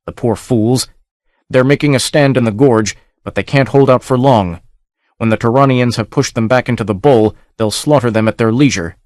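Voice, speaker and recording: A man's voice: medium pitch, normal speed, darkish tone, no room sound, studio quality, natural voice. A man voicing a blunt, restless barbarian chieftain in a storybook reading. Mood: scornful.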